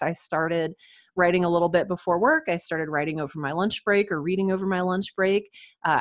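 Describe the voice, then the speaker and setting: A woman in her thirties speaks in a fairly low register. She tells personal stories in a casual, self-deprecating way, as a guest on a podcast would. The sound is dry, with very little room. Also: no room sound. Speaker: interview guest, writer and podcaster.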